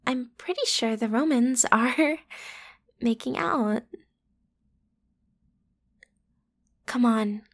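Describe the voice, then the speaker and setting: A woman's voice: sits high, heavily acted. A woman playing a bratty, playful popular student girl in an ASMR roleplay, with casual, slangy, teasing delivery. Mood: pouty, coaxing. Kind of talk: ASMR roleplay acting, one side of a conversation.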